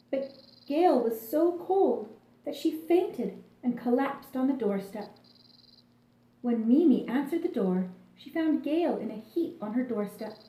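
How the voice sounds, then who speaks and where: Casting: female children's storyteller, soft-toned and very lively in melody, deliberate, roomy recording. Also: fairly high pitch. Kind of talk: scripted children's story narration. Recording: echoey room.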